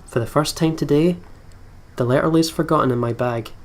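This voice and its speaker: A man hosting a gaming video, reading story text aloud and thinking out loud in a chatty way. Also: fairly high pitch, fairly quick.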